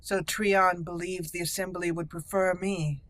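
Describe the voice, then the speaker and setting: A woman role-playing a proud, dutiful young noblewoman raised among dwarves, speaking formally and deliberately as game dialogue. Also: on the low side, fairly even intonation, fairly bright tone, no echo, low-quality recording. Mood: guarded, earnest.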